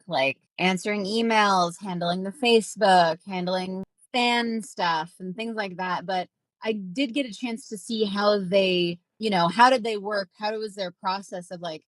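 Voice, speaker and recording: A young woman talks casually as an interview guest. She rambles and restarts, her pitch sits in the middle, and the sound is close and dry. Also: moderate pace, dry recording. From Minnesota, United States. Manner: rambling, filler-heavy, acts out quoted voices. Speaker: guest, ghostwriter.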